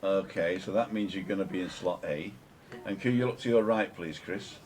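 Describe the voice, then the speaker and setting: A man hosting a casual chat with a loud, booming voice, directing his guests. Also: moderate pace, noisy background. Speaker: host.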